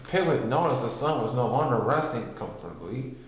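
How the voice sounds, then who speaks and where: A man narrating a children's storybook as an expressive reader. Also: mid-range voice, fairly quick, a little hiss or hum. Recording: strongly echoey room.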